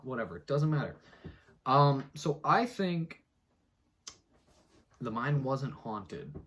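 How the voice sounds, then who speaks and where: A man's voice: animated, takes it slowly, a bit bright. A man giving a theatrical, mock-dramatic reading of an article, breaking off for muttered sarcastic asides. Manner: theatrical, swings between booming and muttered.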